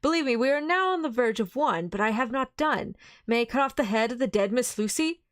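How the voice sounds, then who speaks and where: A woman's voice: on the high side, expressive, brisk, no echo, clean studio sound, pitched above the performer's own voice, natural voice. A woman voicing a grave elderly foreign professor in a read-aloud novel, speaking formally, sternly and earnestly.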